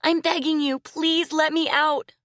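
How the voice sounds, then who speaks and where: A woman voicing a frightened young girl who pleads and cries in a high voice. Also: moderate pace, fairly bright tone, dry recording, studio quality. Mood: terrified pleading.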